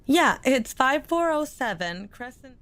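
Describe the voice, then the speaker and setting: A woman's voice: sits high, sing-song, measured pace, fairly bright tone, heavily acted. A woman playing a worried, exasperated mother in an audio drama, pleading and flustered. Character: worried, exasperated mother of a tantrum-throwing boy.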